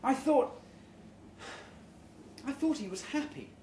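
A man's voice: extremely high pitch, expressive, slow pace, a little mellow, recorded from a distance, pitched above the performer's own voice, full character voice. Man acting a glum, baffled office manager, echoey room. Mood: hurt, baffled. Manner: downcast, mumbling, sighing. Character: glum, baffled male corporate department manager at home. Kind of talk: live short story character voice. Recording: roomy live venue recording with background noise.

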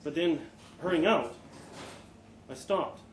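A man reading a short story aloud at a live event, in an expressive, dramatic storytelling style. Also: on the high side, slow. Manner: expressive, acts out dialogue. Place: live literary event in an indoor venue. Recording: echoey room, live event, background noise.

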